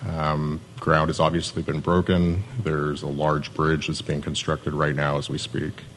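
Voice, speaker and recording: A very deep-voiced man delivers a city pitch in an even, monotone way, dropping small fillers as he goes. A faint hum of ambience sits behind him. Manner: self-described monotone, steady with fillers. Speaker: economic development manager. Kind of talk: conference pitch presentation.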